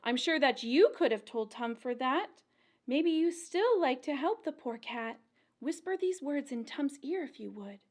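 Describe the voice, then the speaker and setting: A woman reading a rhyming picture book aloud to young children as a storytime librarian, with an expressive, deliberate, sing-song storyteller delivery. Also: high-pitched.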